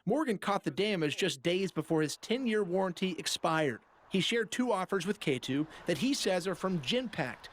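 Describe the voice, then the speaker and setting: A man's voice: sits high, slight background noise. A man reporting as a TV investigative journalist, reading scripted narration for a news package.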